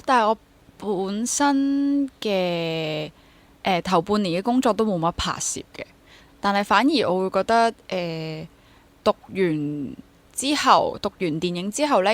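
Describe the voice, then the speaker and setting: A woman in her twenties with a Hong Kong accent, talking casually and reflectively as an interview guest, dropping English words into her speech. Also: mid-range voice. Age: 20s. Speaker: interview guest, freelance stage actor and drama teacher.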